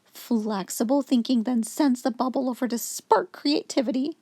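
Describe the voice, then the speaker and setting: A woman reading a children's picture book aloud expressively, in a clear, instructive storytelling manner for young children. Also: fairly high pitch, normal speed, warm tone, dry recording. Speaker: children's story reader, host.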